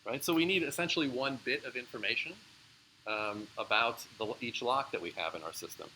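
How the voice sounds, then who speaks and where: A man's voice: medium pitch, a little fast, sharp tone, slight background noise, rough recording. A man giving a live university lecture, explaining in a casual way with frequent fillers and answering student questions. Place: lecture room.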